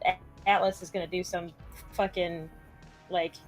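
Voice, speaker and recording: Female tabletop player, casual and blunt, a little low, faint music underneath. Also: flat delivery, normal speed, low-quality recording. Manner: blunt, sweary. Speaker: tabletop role-play player. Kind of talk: casual in-game chat.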